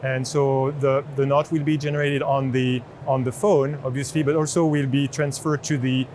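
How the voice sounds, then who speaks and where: A man in his thirties with a French accent giving an unscripted product demo in a halting way. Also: medium pitch, little pitch movement, darkish tone. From France. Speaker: chief product officer demonstrating a product. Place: open booth in large indoor exhibition hall. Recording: noisy trade show hall background.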